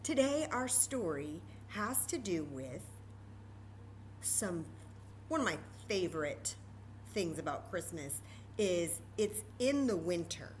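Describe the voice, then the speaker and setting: American woman, children's storytime reader, lower-pitched voice, very expressive swoops, chatty asides, light background noise. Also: takes it slowly, low-quality recording. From Texas, United States. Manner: expressive, talking directly to young children.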